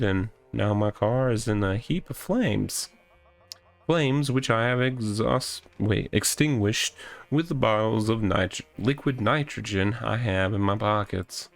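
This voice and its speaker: A man's voice: fairly low pitch, vocal fry. A man voicing a pompous, delusional self-styled cop in a visual novel, with a theatrical, wordy, rambling delivery. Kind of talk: visual novel character reading.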